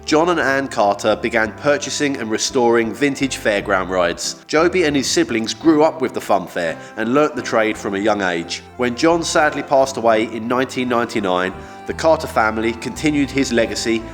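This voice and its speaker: A man's voice: medium pitch, lively intonation, moderate pace. A man reading scripted documentary narration. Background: music.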